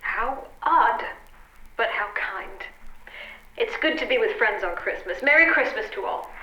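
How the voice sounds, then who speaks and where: A woman playing a sweet, teasing detective's wife with affectionate, gently theatrical delivery in an old-time radio drama. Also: a little high, expressive, a little slow. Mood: fond. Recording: echoey, dull recording with clear noise.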